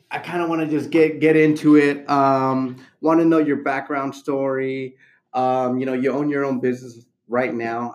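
A man's voice: mid-range voice, darkish tone, dull audio. A man hosting a casual podcast interview, upbeat and chatty, with lots of fillers and restarts. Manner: upbeat, hyped greeting with many fillers and restarts. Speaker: podcast host.

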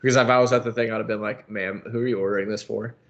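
A man's voice: fairly low pitch, steady tone, rapid-fire. An American man in his thirties bantering casually as a podcast guest, joking and teasing as he talks. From United States. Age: 30s. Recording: slightly dull, muffled sound.

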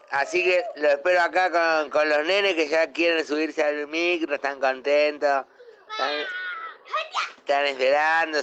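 A man's voice: on the high side, heavy background noise. A man playing an eager, nervous Argentine father who repeats himself in a comic radio play voice message. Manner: repetitive. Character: eager, nervously repetitive father waiting with kids.

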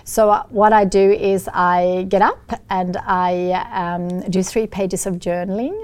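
A middle-aged woman from Sweden with a Swedish accent, talking in an interview as a guest, often pausing with fillers and restarting. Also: medium pitch, fairly even intonation, darkish tone, smooth. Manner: hesitant, many fillers and restarts. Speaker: guest, business founder. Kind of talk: casual interview talk.

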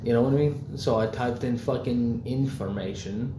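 A man's voice: medium pitch, moderate pace. A young man in casual chat, rambling loosely with lots of fillers and swearing. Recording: echoey room, faint background noise.

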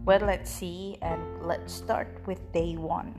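Woman, native Indonesian speaker, informal Bible reader, low-ish voice, faint background music. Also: flat delivery. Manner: unhurried, with frequent fillers and restarts. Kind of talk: informal Bible reading and introduction.

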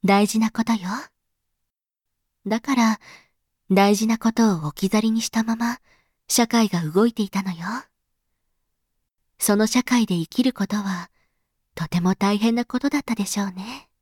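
A woman playing a gentle, patient mother explaining things to her child in a Japanese audio drama, reassuring and soft-spoken. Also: medium pitch, normal speed, long pauses, slightly rough, dry recording, studio quality, lightly acted. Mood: thoughtful.

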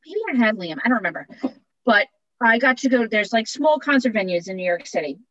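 A woman's voice: on the high side, lively intonation, brisk, a bit bright, no echo. Podcast co-host: woman, 40s, Long Island accent, chatty, laughs a lot, dry and muffled sound. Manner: chatty, laughs often, trailing restarts. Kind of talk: casual chat.

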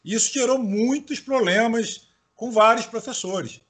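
A man's voice: extremely high pitch, a little slow, a bit bright, slightly rough. A man from Salvador, a university professor co-hosting, who talks casually and hesitates a lot. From Salvador, Bahia, Brazil. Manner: hesitant, many fillers. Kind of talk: casual interview chat.

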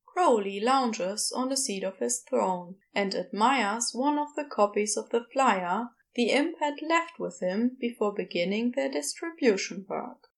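A woman's voice: on the high side, lively intonation, measured pace, creaky, hardly any pauses. A woman narrating scripted fiction as an audiobook storyteller.

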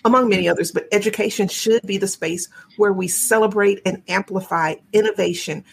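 A woman educator in a podcast panel discussion, phrasing her thoughts deliberately and reflectively. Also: medium pitch, creaky, husky.

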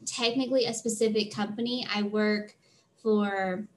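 A woman with a slightly high-pitched voice, a freelance theater tour manager answering interview questions in a chatty, earnest way with many fillers. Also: steady tone, normal speed, rough recording.

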